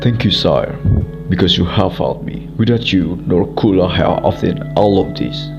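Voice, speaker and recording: Man acting deep gravelly Indonesian warrior minister. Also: moderate pace, muffled recording. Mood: dutiful, respectful. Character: ambitious, dutiful Majapahit prime minister and warrior. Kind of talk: amateur student radio drama acting. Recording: loud music behind the voice.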